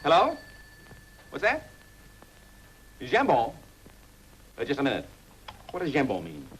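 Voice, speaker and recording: Blunt, impatient showman; quick wisecracks, lively pitch. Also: a little hiss or hum, poor audio. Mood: busy phone.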